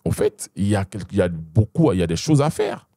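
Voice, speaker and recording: A young man with an Ivorian French accent speaks fast in a low, dark voice, animated and full of restarts. The sound is dry and close. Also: no room sound. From Ivory Coast. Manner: animated, repeats and restarts, addresses listener with "tu vois". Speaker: guest, Muay Thai champion. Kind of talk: casual interview talk.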